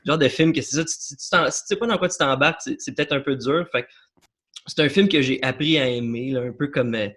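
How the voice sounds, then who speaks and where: A man's voice: mid-range voice, fast talker, a bit bright. A young man with a Québécois accent talking casually about a film, rambling with many fillers and restarts. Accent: Québécois French. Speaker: podcast guest. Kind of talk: casual chat about films.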